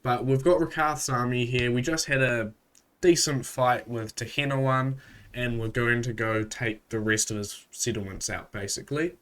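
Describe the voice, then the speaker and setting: A man's voice: mid-range voice, moderate pace. Stuffy-voiced man casually recapping a game. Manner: relaxed, loosely recapping with frequent fillers. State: stuffy from a cold. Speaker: gaming channel host. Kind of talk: casual strategy game commentary.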